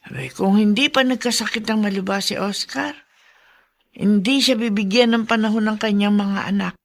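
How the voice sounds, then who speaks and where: A woman's voice: medium pitch, normal speed, gravelly, close to an everyday voice. A woman playing a gossipy, kindly older neighbour in a radio drama, folksy and exclamatory. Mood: sympathetic.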